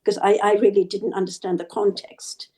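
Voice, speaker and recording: A woman from Zimbabwe, an author and guest on a radio interview, speaking reflectively with soft laughs and small restarts. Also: medium pitch, flat delivery, moderate pace.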